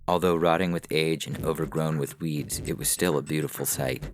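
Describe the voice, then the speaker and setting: A man's voice: rumbling low, steady tone, brisk, a little hiss or hum. A man reading scripted science fiction narration as a storyteller.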